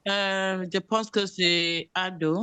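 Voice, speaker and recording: A man's voice: high voice, steady tone, slow pace, pushed and bright, smooth. A man from Nigeria, a student, introducing himself in hesitant, formal French.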